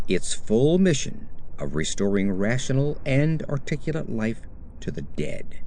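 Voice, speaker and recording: A man narrating a horror story in a theatrical, measured, emphatic style. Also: medium pitch, warm tone. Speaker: audiobook narrator. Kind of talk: dramatic scripted horror narration.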